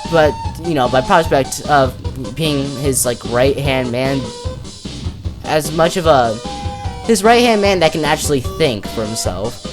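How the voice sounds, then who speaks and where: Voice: mid-range voice, animated, measured pace. A casual speaker giving unscripted, rambling commentary, thinking aloud with slangy, hesitant phrasing. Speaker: video creator presenting a character ranking. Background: music, plucked guitar.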